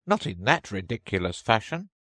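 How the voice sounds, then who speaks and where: Storybook dormouse, played by a man: small, sleepy and sulky, pitched up with swooping intonation. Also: sing-song, normal speed, pushed and bright, no echo, studio quality, full character voice. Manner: drowsy, grumbling. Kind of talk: storybook character voice.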